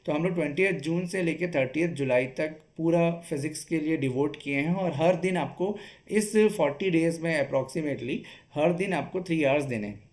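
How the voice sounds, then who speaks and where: A man's voice: fairly high pitch, normal speed, darkish tone. A man giving an instructional study-strategy talk as an exam coach, explaining step by step and often checking in with short tag questions. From Chhattisgarh, India.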